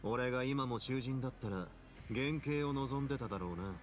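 A self-assured male prison boss from an anime game, played by an actor. He talks casually with dry humor and easy authority at a middle pitch, over light music. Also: normal speed, close to an everyday voice. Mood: wry, relaxed. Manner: casual, dryly joking, in command.

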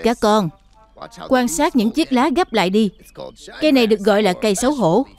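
Man voicing a gentle big bear teacher. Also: extremely high pitch, animated, fairly quick. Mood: patient, caring. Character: big adult male bear teacher, patient and caring. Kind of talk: children's cartoon dub. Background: background music.

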